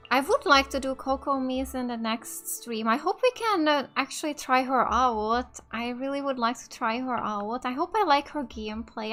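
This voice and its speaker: High, bright-voiced woman streaming a game. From Finland. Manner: chatty, giggly. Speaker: gaming streamer. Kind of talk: casual let's play commentary. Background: game music.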